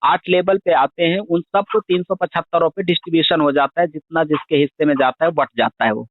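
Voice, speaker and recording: A man's voice: on the high side, fairly even intonation, a little fast, dry recording. A man giving an insistent, step-by-step sales explanation over a phone call, repeating points and addressing the listener as sir. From India. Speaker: digital wallet scheme promoter making a sales call. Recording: tinny narrow-band phone line.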